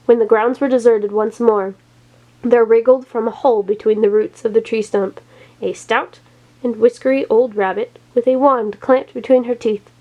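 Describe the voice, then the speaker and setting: A woman reads a children's story aloud as the storyteller. Her voice sits a little higher than average, in a narrated read-aloud style. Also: fairly even intonation, moderate pace, mellow, lo-fi sound.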